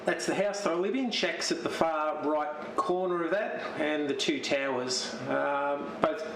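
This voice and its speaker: An Australian man giving an informal, halting show-and-tell narration as an amateur television operator. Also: fairly high pitch. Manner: halting, unscripted. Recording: roomy, noisy radio-transmission audio.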